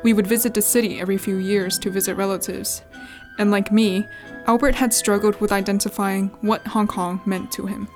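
A woman's voice: medium pitch, steady tone, moderate pace, darkish tone, faint music behind. A young adult woman from California with an American accent, reading scripted narration as a podcast host. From California, United States.

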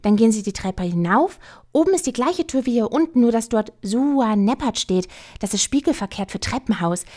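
A literal-minded, officious receptionist at a small-town hotel acted by a woman in an audio drama. Her voice is dark and mellow, and she recites everything formally and at great length, down to the smallest detail. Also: fairly high pitch, lively intonation, moderate pace. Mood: instructing. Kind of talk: German audio drama acting.

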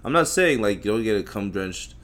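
A man's voice: on the low side, expressive, normal speed, a bit bright. A young man hosting a solo podcast, rambling in a crude, profane and self-mocking way that often loses its thread. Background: faint noise from outside.

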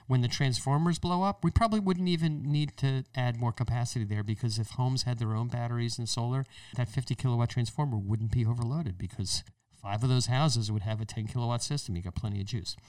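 A man's voice: medium pitch, a little fast, darkish tone, no echo, far from the mic. An American man hosting a show, giving explanatory commentary in a colloquial, emphatic way, repeating phrases for stress. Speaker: podcast host and solar business owner.

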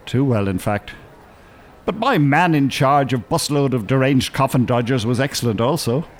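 An actor playing an older retired teacher in a radio drama, with precise formal wording and dry sarcasm. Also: male voice, mid-range voice, animated, normal speed, a little hiss or hum, lightly acted. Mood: dry, sceptical. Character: prim, sardonic retired teacher, older man.

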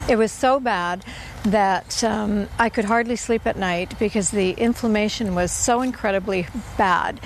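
A woman's voice: medium pitch, a little slow, a little hiss or hum, low-quality recording. A woman telling her personal story as a documentary testimonial.

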